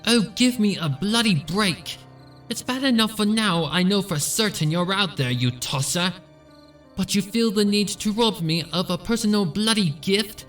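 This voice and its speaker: A man's voice: high voice, moderate pace, far from the mic, faint music behind, pitched above the performer's own voice. A man voicing an angry, frightened but defiant female pony musician, ranting indignantly. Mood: furious, defiant. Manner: indignant shouting with British slang.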